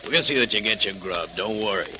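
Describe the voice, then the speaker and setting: An American actor playing a gruff, folksy gangster henchman with slangy, mock-soothing tough talk in 1930s radio style. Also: fairly low pitch, quick pace, close to an everyday voice. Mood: mock-reasonable. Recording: noisy, hissy 1930s radio transcription.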